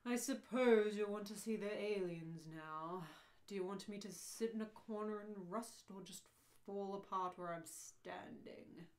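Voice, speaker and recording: Depressed, resigned robot, female amateur reader, complaining, medium pitch, comic radio play. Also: a little slow, darkish tone, natural voice. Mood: gloomy, resigned. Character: gloomy, self-pitying, long-suffering robot.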